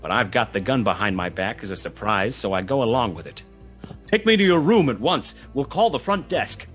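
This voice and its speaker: An actor playing a world-weary, wry, tough private detective who narrates a 1920s hard-boiled radio play in a clipped, dramatic manner. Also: male voice, medium pitch, animated, a little fast, fairly bright tone, soft background music, close to an everyday voice. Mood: tense, then urgent.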